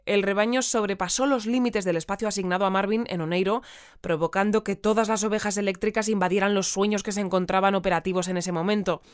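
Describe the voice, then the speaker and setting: A woman's voice: mid-range voice, clear, resonant tone, dry recording, clean studio sound, lightly acted. A woman with an accent from Spain playing a composed, formal newsreader of a futuristic community bulletin in an audio drama. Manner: formal, orderly, articulate. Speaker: news bulletin presenter. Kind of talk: scripted radio news reading and interview.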